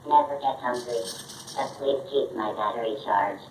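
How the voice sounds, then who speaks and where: Polite robot helper, synthetic male, mid pitch, heard from a distance through a device with faint noise. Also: normal speed, echoey room. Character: polite, helpful homemade robot assistant. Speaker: robot voice assistant. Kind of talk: synthetic assistant announcements.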